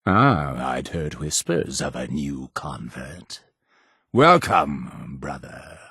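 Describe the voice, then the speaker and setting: A zealous cult leader for a video game, solemn and fervent. His delivery is slow and grandly preaching, rising and falling dramatically. The voice is husky with a creaking edge, in formal, ceremonial phrasing. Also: extremely low pitch, big pitch swings, bright, forceful tone, dry recording, clean studio sound, exaggerated voice. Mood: solemn, measured. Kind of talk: scripted video game character dialogue.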